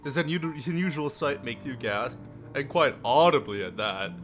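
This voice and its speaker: A man's voice: medium pitch, normal speed. A man livestreaming a visual novel, reading aloud theatrically and breaking off for playful, jokey asides. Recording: music behind the voice. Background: faint music.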